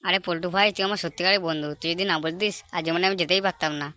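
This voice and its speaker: Man as brash, fast-talking young cartoon slacker. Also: high voice, fairly even intonation, pushed and bright. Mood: urgent. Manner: brash, crude, slangy. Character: cocky, hot-headed young slacker man, bragging to friends. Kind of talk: amateur comedy cartoon dub.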